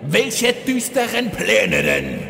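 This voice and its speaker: A man's voice: high voice, huge pitch swings, slow pace, creaky, bright, forceful tone, full character voice. A man playing a theatrical undead arch-villain in a comic fantasy audio drama, hammy and comically domestic. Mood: indignant. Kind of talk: German audio drama parody. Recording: echoey hall reverb, faint noise.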